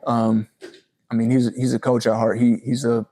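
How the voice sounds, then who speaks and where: A man's voice: mid-range voice, flat delivery, moderate pace, mellow. A man in his early twenties giving a casual, earnest and respectful sports interview as a college football player. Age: early 20s.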